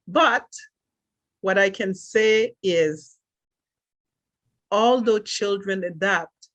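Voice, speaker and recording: A middle-aged woman from Haiti whose first language is French, telling her life story as a podcast guest in a thoughtful way, with soft laughs between thoughts. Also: mid-range voice. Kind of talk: reflective personal storytelling.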